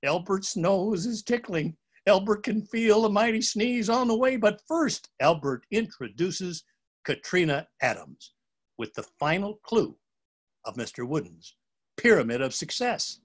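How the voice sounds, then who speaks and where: A man reads a children's storybook aloud in a slightly high voice. His delivery is dramatic and highly animated, with lively swings of pitch. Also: a little slow. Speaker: narrator.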